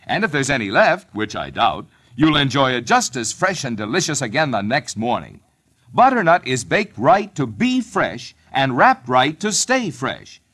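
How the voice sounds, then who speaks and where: A man's voice: medium pitch, big pitch swings, normal speed, a bit bright. An American man reading a vintage radio commercial as announcer, with folksy, persuasive sales patter. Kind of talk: vintage radio bread advertisement.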